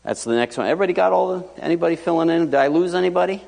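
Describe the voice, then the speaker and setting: A man preaching a sermon to his congregation, lively and joking as he teaches. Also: a little high, rapid-fire, vocal fry. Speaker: pastor. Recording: long hall reverb.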